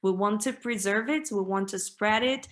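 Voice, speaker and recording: A woman's voice: medium pitch, normal speed. A young woman from Ukraine with a Ukrainian accent, a band singer answering interview questions in a chatty way full of "like" and "you know". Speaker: band vocalist, interview guest.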